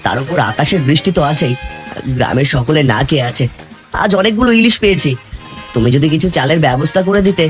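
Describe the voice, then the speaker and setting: A man's voice: fairly high pitch, animated, fast talker, faint music behind, close to an everyday voice. A man voicing a generous, caring village fisherman and father in a cartoon fairy tale, speaking earnestly.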